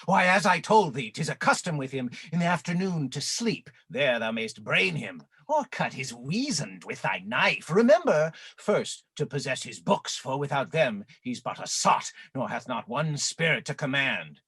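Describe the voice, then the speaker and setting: An actor playing a fawning, vengeful half-fish monster servant with a raspy, gravelly voice in a Shakespearean radio play. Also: male voice, mid-range voice, very animated, moderate pace, hardly any pauses, fairly bright tone, dry recording, full character voice. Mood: fawning, scheming. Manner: grovelling and bitterly indignant, archaic verse speech.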